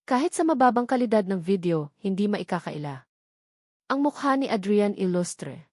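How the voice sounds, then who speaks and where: A woman reading a dramatic love story as a steady, scripted narrator. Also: mid-range voice, no echo, clean studio sound.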